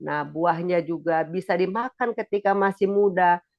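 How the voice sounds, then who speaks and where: A preacher giving a sermon with a stuffy, nasal voice while recovering from illness, pitching up and getting louder as they explain a point. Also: normal speed, no room sound, lo-fi sound. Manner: rises in pitch and volume when explaining.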